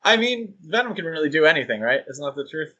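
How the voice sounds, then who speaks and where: Chatty, joking man with a higher voice. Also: big pitch swings, quick pace, sharp tone. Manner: chatty, joking, with frequent fillers. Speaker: podcast co-host. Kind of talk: casual podcast discussion.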